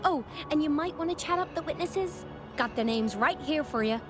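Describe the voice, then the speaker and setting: An actress playing a folksy, brisk woman who oversees a mine, chatty and animated. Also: high-pitched. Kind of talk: game cutscene dialogue. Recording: game music underneath. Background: music.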